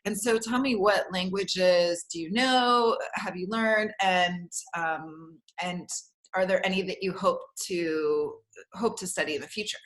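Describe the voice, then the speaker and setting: A woman's voice: fairly low pitch, unhurried, fairly bright tone. A woman hosting a casual interview, asking questions in a friendly way with some hesitant fillers. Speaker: interviewer, host.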